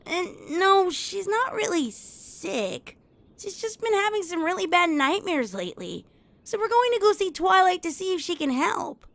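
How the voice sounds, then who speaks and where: Casting: brash young female cartoon pegasus, confident and protective, very high raspy voice with lively swings. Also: normal speed, dry recording, heavily acted. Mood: casual. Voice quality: raspy, creaky. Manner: confident, reassuring. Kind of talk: cartoon fan fiction character reading. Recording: music under the voice.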